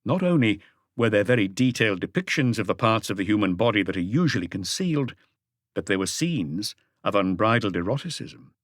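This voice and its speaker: A male audiobook narrator reads scripted mystery prose. His voice sits fairly low, and the recording is very dry, with hardly any room sound. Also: sing-song, brisk, no echo.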